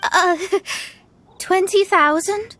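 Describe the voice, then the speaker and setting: A woman's voice: very high voice, very slow, sharp tone, a little hiss or hum, exaggerated voice. A woman playing a proud young astrologist in a video game, speaking in a lofty, formal, self-important manner. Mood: flustered, feigning. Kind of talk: video game character acting. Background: game music.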